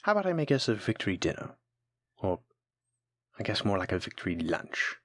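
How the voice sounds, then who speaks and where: A man playing a kind, encouraging alchemy teacher in a fantasy audio roleplay, speaking gently and patiently to the listener. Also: fairly low pitch, sing-song, fairly quick, dry recording. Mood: warm, reflective. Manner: gentle, patient, reassuring instruction. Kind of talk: audio roleplay acting, spoken intimately to listener.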